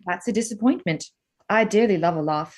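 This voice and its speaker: A woman's voice: medium pitch, fairly quick, natural voice. A woman playing a witty, teasing, spirited young lady in a period drama reading, dry, playful and composed.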